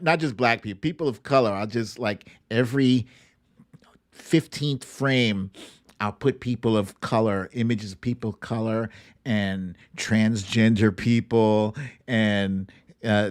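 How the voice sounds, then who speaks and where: A man hosting an online show in a playful, animated, casual style with some swearing. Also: fairly low pitch, measured pace. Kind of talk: casual livestream chat, comic picture-book reading and political commentary.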